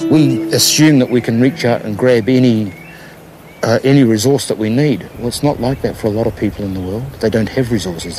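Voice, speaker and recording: A man's voice: medium pitch, darkish tone. A man explaining hands-on gardening in an earnest, plain-spoken way, repeating words for emphasis. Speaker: organic gardener. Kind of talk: informal explanation and demonstration to camera in a documentary.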